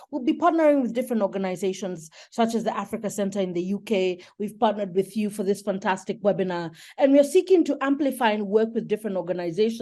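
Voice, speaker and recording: A woman's voice: mid-range voice, warm tone. A woman giving an explanatory webinar presentation as a guest speaker, articulate and persuasive. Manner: articulate, persuasive, poses rhetorical questions. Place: online webinar.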